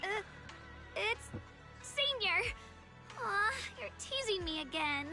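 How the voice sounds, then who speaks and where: An actress playing a gentle, teasing young woman in an anime game, coy and hesitant in manner. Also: very high-pitched, very animated, slow pace, faint music behind, full character voice.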